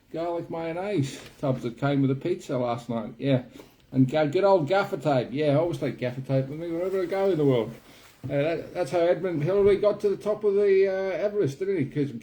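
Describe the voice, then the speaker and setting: A man's voice: fairly high pitch, dark tone, close to an everyday voice, low-quality recording. A man playing a jokey, rambling mountaineer in a comic monologue, attempting a New Zealand accent that sounds Australian. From Scotland. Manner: rambling, self-mocking, chuckling, halting. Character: jokey male mountaineer with a wobbly put-on antipodean accent. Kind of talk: comic character monologue to camera. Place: kitchen in a house.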